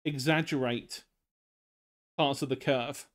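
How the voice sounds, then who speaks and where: A man's voice: mid-range voice, steady tone, slow pace. Man rambling through a drawing tutorial. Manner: rambling, loose explanations with many fillers. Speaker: streamer teaching drawing. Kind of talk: casual live-stream art tutorial.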